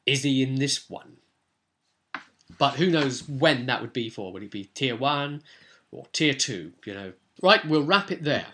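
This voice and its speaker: A man's voice: mid-range voice, expressive, a little slow, pushed and bright, slight background noise. A man hosting a tabletop role-play vlog, talking casually and off the cuff in a rambling, storytelling way. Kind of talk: casual unscripted game recap commentary.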